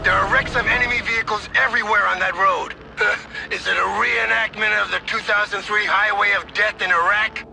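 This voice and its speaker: An actor playing a wry, assured general in a video game, over a military field message. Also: male voice, high-pitched, fairly even intonation, normal speed, creaky. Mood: wry, amused. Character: wry, assured male general arriving with reinforcements. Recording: noisy field transmission with vehicle sounds.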